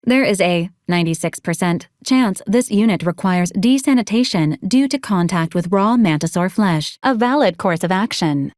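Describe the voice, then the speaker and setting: Woman acting as a deadpan female medical robot, dry recording. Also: medium pitch, no echo, natural voice. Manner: clinical, formal, deadpan announcements. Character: polite, literal-minded female medical robot companion. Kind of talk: scripted video game character lines.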